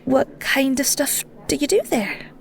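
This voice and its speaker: A woman's voice: high-pitched, expressive, unhurried, vocal fry, exaggerated voice. A woman playing a flustered, sweet young Scottish shepherdess in an intimate audio roleplay, bashful, stammering and rambling. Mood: flustered, smitten.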